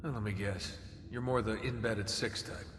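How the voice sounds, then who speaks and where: A man's voice: on the low side, fairly even intonation, moderate pace, a little hiss or hum, lightly acted. An actor voicing an easygoing, wisecracking young male hero in a video game cutscene. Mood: dry, teasing. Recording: loud game soundtrack under the voice.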